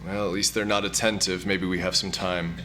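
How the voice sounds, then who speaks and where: A man playing a mild, cautious elderly programmer in an audio drama, measured, wry and understated. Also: low voice, level tone, sharp tone. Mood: worried, cautious. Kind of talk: scripted audio drama acting.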